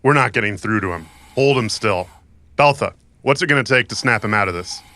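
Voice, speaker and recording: A take-charge hired gun in a cyberpunk radio drama. He fires off curt orders quickly in a bright, forceful voice of ordinary pitch. His manner is no-nonsense. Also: dry recording. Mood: impatient, in control. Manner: curt, commanding. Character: brisk, no-nonsense male mercenary taking charge. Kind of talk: cyberpunk audio drama acting.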